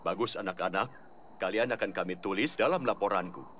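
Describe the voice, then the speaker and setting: A low-voiced cartoon policeman, grave and official. He speaks in a measured, admiring way with faint ambience around him. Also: a bit bright. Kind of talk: animated comedy cartoon dubbing. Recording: background ambience noise.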